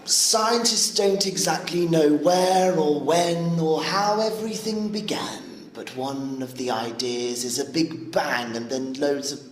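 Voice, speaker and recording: An actor playing an eager, excitable young man who believes in fairy tales, in an informal audio drama style. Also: a little high, unhurried, hardly any pauses, echoey room, a little hiss or hum, lightly acted, rough recording. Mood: storytelling.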